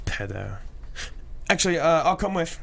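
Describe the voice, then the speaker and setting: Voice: medium pitch, big pitch swings, moderate pace, vocal fry, a bit bright, exaggerated voice. A performer playing a dramatic, cheeky but insecure youth in a comedy table read. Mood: blunt.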